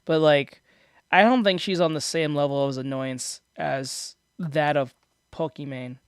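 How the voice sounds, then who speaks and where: A man with a high, boyish voice, rambling and poking fun at himself while reacting to clips on stream. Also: measured pace, clear, resonant tone, no echo, clean studio sound. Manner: rambling, self-deprecating, laughs often. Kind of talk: casual reaction commentary. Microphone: desk microphone.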